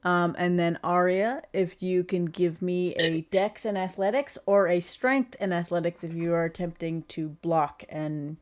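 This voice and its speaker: A woman running a tabletop roleplaying game as game master, explaining rules in a halting way. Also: on the low side, heavy background noise.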